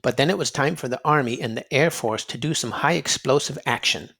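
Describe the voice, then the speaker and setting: A man reading aloud to children in an expressive storybook style, dramatic and emphatic, drawing out words for effect. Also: mid-range voice, brisk, creaky. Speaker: children's read-aloud narrator and quiz host.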